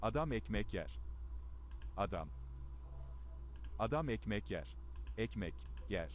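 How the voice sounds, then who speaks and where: A man's voice: lowish voice, big pitch swings, unhurried. Male language-app voice, noisy playback. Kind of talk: slow clear word-by-word prompts.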